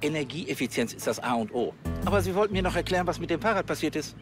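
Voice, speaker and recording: An actor as a pompous, animated police chief. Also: male voice, a little high, fast, music in the background, natural voice. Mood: impatient, pompous. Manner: pompous, punctuated with "ja?" and "nicht?". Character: self-important, jovial, blustering male police chief. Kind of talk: TV crime comedy acting.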